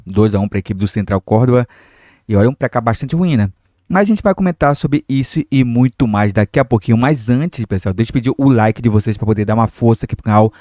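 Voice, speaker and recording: A man's voice: fairly low pitch, warm tone, no room sound, clean studio sound. A young Brazilian man with a cold hosting a football commentary channel, talking casually to his viewers with lots of fillers. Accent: Brazilian Portuguese. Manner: chatty, many fillers, addresses viewers directly. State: recovering from a cold, irritated throat.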